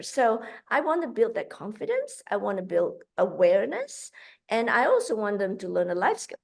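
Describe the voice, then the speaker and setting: A woman from Malaysia speaking in an interview as a guest. Her talk is animated and full of fillers and restarts. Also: on the high side, gravelly. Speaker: guest, founder of a kids' cooking program.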